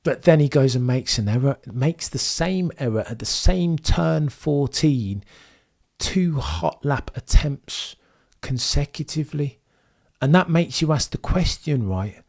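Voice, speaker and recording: A man's voice: medium pitch, expressive, unhurried, vocal fry, a little mellow. A young man commentating on a live stream and reading chat. He is losing his voice, and he talks in opinionated, rambling runs full of tag questions. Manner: opinionated, rambling, frequent "right?" tags. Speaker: Formula 1 streamer.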